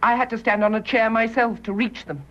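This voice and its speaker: An actress playing a cold, accusing British nurse in a radio drama. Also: female voice, a little high, steady tone, brisk, close to an everyday voice. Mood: firm, defensive. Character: cold, accusing nurse, bluntly revealing secrets. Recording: dull, noisy radio drama recording.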